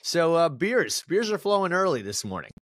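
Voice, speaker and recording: A man's voice: fairly high pitch, sing-song, normal speed, a bit bright, no echo, clean studio sound. A man co-hosting a casual sports podcast, reading promos in a sing-song way and rambling with restarts in the chat. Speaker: podcast co-host.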